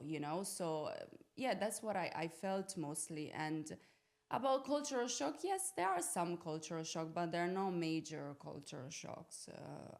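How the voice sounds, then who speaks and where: A young woman from Italy talking casually and reflectively as a podcast guest, informal and often searching for her words. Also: deep voice. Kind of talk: casual personal reflection on living abroad. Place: podcast studio.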